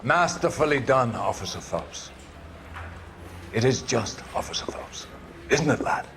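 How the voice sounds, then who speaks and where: An actor playing a pious, menacing older police captain, speaking in deliberate, sermon-like oratory for a video game. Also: male voice, mid-range voice, lively intonation, creaky, noisy background. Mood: approving. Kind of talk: cinematic video game acting.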